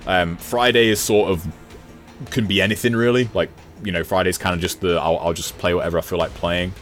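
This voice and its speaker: A man's voice: sits low, fairly even intonation, a little fast, soft background music. A man talking casually as a podcast guest and gaming streamer, in a rambling, self-deprecating way. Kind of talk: casual conversational chat.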